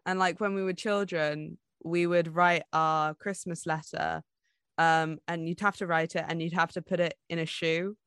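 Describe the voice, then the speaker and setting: Lower-voiced woman chatting casually, close and dry. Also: fairly even intonation, moderate pace, a bit bright, clean tone, no room sound. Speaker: podcast co-host. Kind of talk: casual book podcast chat.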